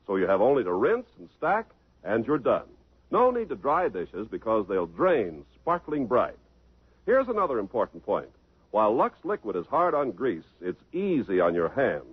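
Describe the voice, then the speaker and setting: A man announcing and reading a hearty sales pitch on a 1950s radio broadcast. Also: husky, no room sound. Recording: tinny old radio broadcast.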